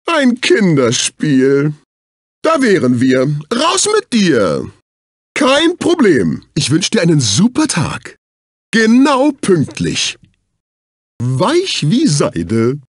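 A man's voice: a little high, huge pitch swings, drawn-out words, husky, no echo, clean studio sound, exaggerated voice. A male actor voicing a fussy, snobbish, servile executive assistant in a dubbed cartoon video game, with a prissy, condescending, exclamatory delivery. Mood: smug, pleased. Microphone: close studio microphone.

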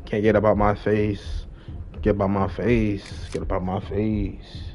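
A man's voice: lowish voice, level tone, a little slow, a little mellow. A young American man chatting casually on a gaming live stream, repeating phrases and using heavy street slang. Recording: noisy live stream with game sounds.

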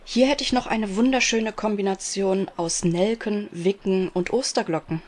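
A woman playing a gentle, helpful flower shop saleswoman in a parody detective audio drama. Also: medium pitch, moderate pace, natural voice. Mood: polite, helpful. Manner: polite, hesitant service talk.

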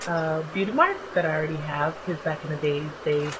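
A young woman narrates a home makeup tutorial in a relaxed, chatty voice-over, her voice a little raspy because she has just woken up. She fills pauses with ums as she goes. A clear hiss of noise runs under the home recording. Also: deep voice. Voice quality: slightly raspy. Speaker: beauty vlogger. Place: small home bathroom.

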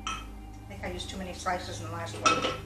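A woman's voice: on the low side, little pitch movement, brisk. An older woman demonstrating a recipe in her home kitchen, chatting casually and correcting herself as she works. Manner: chatty, self-correcting, talks while working with her hands. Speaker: home cooking vlogger. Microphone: far camera. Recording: roomy kitchen sound, mic at a distance, music behind.

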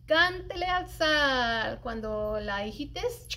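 A middle-aged woman with a notably high voice reads a picture book for children. She takes her time, stretching key words in an expressive storytelling manner. Faint room noise sits behind her. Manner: animated, deliberate storytelling with drawn-out emphasized words. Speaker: library storyteller. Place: indoors in a library children's room. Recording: light background room noise.

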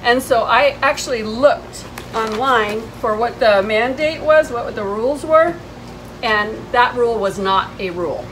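A middle-aged woman with a fairly high voice tells a personal story in a casual, conversational vlog style. She is indignant and leans hard on her points as she recounts exchanges. The camera stands a few steps away on an outdoor porch, with clearly audible ambient noise. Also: unhurried, a bit bright, rough recording. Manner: indignant, emphatic, retelling exchanges. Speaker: vlogger. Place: covered porch outside a house.

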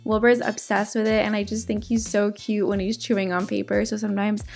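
A woman's voice: mid-range voice, little pitch movement, a little fast, vocal fry, a little mellow, faint music behind. A woman doing a casual, chatty crafting voice-over for her vlog. She is sick, and her voice cracks now and then. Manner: chatty, upbeat, fillers. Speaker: DIY vlogger. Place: home, indoors.

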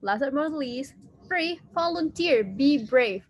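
A young woman on a video call, listing health tips in a brisk, encouraging way. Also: high-pitched, expressive, dry recording, clean studio sound. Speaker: team member.

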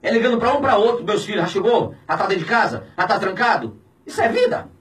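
A man in his fifties with a Brazilian accent, a pastor speaking out in an indignant, preaching tone on the radio, swinging between shouts and low, heavy words. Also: expressive, fast, far from the mic. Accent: Brazilian Portuguese. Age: 50s. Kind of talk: impassioned radio commentary. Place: small radio studio. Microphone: studio mic on a boom, filmed from a distance.

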